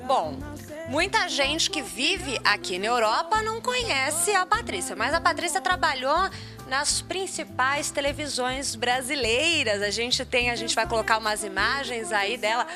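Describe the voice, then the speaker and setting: A woman's voice: high voice, animated, unhurried, music in the background. A woman with a Brazilian accent interviewing a colleague in a casual, conversational way. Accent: Brazilian Portuguese. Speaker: interviewer, television colleague of the guest.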